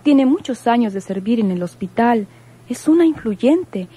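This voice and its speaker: A woman's voice: on the high side, lively intonation, moderate pace, warm tone, breathy edge. An actress playing a polite, serious woman in a radio soap, speaking properly and measuredly. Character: polite, serious hospital working woman, grieving sister. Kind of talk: Mexican radio soap acting.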